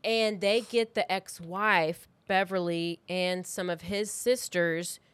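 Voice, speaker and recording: A woman tells a true crime story in a casual, conversational podcast style. Her voice sits a little low for a woman. The sound is dry, and she talks informally as if working through notes with a co-host. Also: steady tone, slow, a bit bright, smooth, no room sound.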